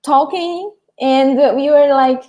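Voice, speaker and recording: A woman from Brazil, speaking English with a Brazilian Portuguese accent, hosts a casual live lesson. Her voice sits fairly high, and she turns to the viewers often, stopping to restart her sentences. The sound comes through a video call. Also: takes it slowly, warm tone. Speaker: host and Portuguese teacher. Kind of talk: casual live class chat.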